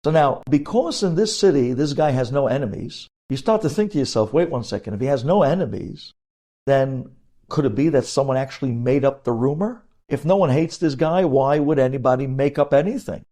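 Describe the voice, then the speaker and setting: A man's voice: mid-range voice, lively intonation, brisk, dark tone. A man giving a religious lesson as a rabbi, explaining emphatically and posing questions that he then answers. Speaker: rabbi teaching religious law.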